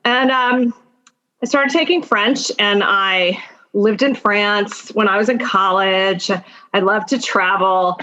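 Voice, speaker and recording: A woman in her fifties with a mid-pitched voice, heard through slightly dull, lo-fi audio. She talks as an interview guest, telling stories in a conversational way and repeating key phrases for emphasis. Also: steady tone, takes it slowly, pushed and bright. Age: 50s. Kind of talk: conversational personal storytelling.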